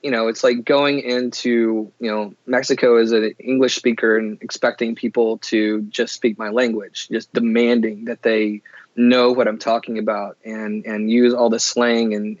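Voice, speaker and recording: A man's voice: mid-range voice, no room sound, muffled recording. A man from Oklahoma, a guest talking earnestly and thoughtfully in an interview, often restarting his sentences. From Oklahoma, USA. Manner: earnest, thoughtful, with frequent restarts and "you know". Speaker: podcast guest. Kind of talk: candid interview conversation.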